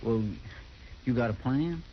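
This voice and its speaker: An actor playing an earnest, loyal marshal's helper with a rural American accent, creaky and deferential, in a 1950s radio western. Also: male voice, mid-range voice, lightly acted. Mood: anxious. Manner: folksy, drawn-out, deferential. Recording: muffled vintage radio recording, faint noise.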